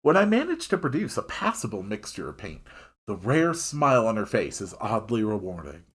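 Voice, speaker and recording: A man's voice: fairly low pitch, huge pitch swings, moderate pace, husky. A man reading a story aloud expressively, dropping in wry, casual asides of his own. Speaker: host reading a visual novel aloud.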